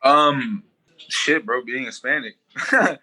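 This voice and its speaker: A man's voice: medium pitch, slow, bright, forceful tone, poor audio. A man being interviewed as a guest on a podcast, talking loosely with frequent restarts and casual swearing. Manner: loose, rambling, swears freely, many restarts. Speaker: guest musician.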